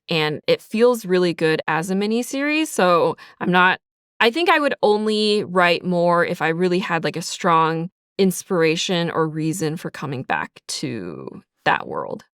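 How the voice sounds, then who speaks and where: Clear, low-voiced woman in casual interview. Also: a bit bright, dry recording, studio quality. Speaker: audio drama writer and creator, interview guest. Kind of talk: casual explanatory interview talk.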